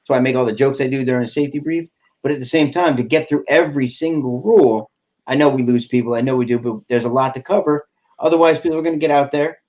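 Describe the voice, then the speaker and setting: A man in his forties talks casually over a video call, his voice sitting at an ordinary middle pitch. He rambles, stopping and restarting often and leaning on lots of fillers as he explains his plans. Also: darkish tone. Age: 40s. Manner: rambling, halting with many fillers. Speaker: airsoft field owner. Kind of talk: casual podcast chat.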